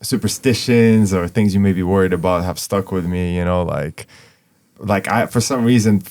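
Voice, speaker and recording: A man's voice: sits low, normal speed. A young man from Ukraine, a guest in an interview, talking casually with lots of fillers and restarts. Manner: relaxed, rambling, many fillers and restarts. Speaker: guest, artist.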